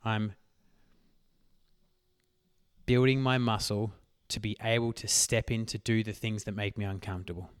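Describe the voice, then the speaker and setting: A man hosting a solo motivational podcast, talking emphatically and swearing casually, his voice worn hoarse. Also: fairly low pitch, steady tone, a little fast, no echo, studio quality. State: losing his voice. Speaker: podcast host.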